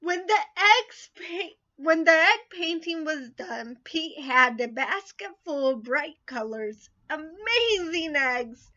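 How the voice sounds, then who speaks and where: A woman reading a children's picture book aloud in an expressive, sing-song way. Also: high voice, slow pace, hardly any pauses, lo-fi sound. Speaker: storybook reader.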